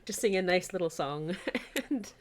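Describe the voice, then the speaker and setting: A woman hosting a casual livestream, chatty and animated, often giggling as she talks. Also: on the low side, normal speed. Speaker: podcast host and writer.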